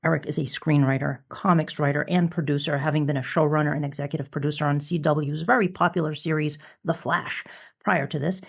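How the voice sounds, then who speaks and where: A woman's voice: deep voice, steady tone, brisk, gravelly, slightly rough. A woman hosting a fan podcast, chatting and making introductions in an enthusiastic manner. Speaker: podcast host.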